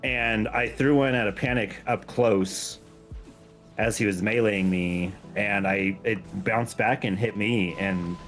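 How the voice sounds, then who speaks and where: A man's voice: on the low side, fairly even intonation, slow, fairly bright tone, soft background music. A man talking casually and enthusiastically on a gaming stream, explaining game details in a rambling way. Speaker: game mod creator guesting on a stream.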